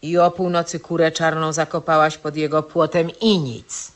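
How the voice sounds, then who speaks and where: A woman's voice: low-pitched, flat delivery, normal speed, natural voice. A woman playing a scheming, wicked woman in a theatrical 1970s fairy-tale radio play.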